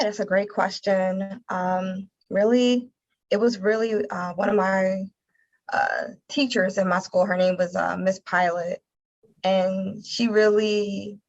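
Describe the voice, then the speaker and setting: An American woman from Philadelphia speaks as an interview guest. Her pitch sits at an average height and stays fairly even, with halting, repeated words as she thinks. The recording is slightly dull and lo-fi. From Philadelphia, United States. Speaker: interview guest, nonprofit communications director. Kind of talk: conversational interview answers.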